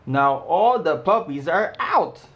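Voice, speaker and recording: A man's voice: on the high side, animated, slow, lo-fi sound, pitched above the performer's own voice. A man voicing a polite, careful woman who runs an animal shelter in a children's storybook. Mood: dismayed. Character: welcoming, anxious woman director of an animal shelter.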